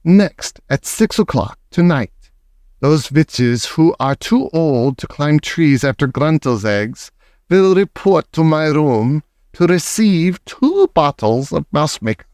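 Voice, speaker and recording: Imperious female witch leader, read by a male narrator; shrill, lively, contemptuous, commanding. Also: slow, no echo, clean studio sound. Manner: bossy, barks orders, contemptuous. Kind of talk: audiobook character voice.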